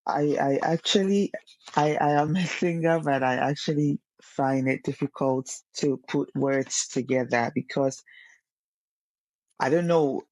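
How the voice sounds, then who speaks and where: A woman's voice: low voice, steady tone, a little slow, a little mellow, dry recording, low-quality recording. A young woman, a singer guesting on a casual podcast, earnest and often repeating words as she searches for them.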